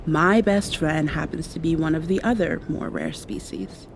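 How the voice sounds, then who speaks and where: A woman playing a gentle, affectionate young vampire in an intimate ASMR roleplay, speaking softly with thoughtful pauses. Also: deep voice, creaky, slight background noise. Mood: confiding, wry. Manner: soft, tender, unhurried, thoughtful pauses. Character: gentle, affectionate young female vampire confiding in her girlfriend.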